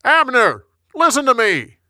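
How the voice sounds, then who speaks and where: A man's voice: fairly high pitch, fairly even intonation, slow pace, dry recording, studio quality. A man voicing a bold, righteous and loyal warrior leader in an earnest, declamatory way for a story. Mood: shouting, accusing.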